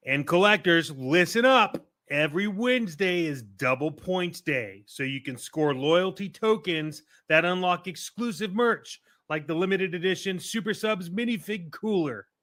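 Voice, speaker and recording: A man's voice: high-pitched, lively intonation, a little slow, hardly any pauses, fairly bright tone. An American man co-hosting a podcast, moving between casual chat and scripted sponsor reads. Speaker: podcast co-host.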